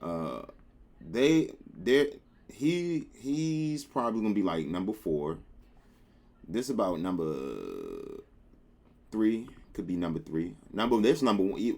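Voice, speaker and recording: A man's voice: deep voice, very animated, unhurried, darkish tone. A man hosting a reaction video at home, chatting casually with playful slang.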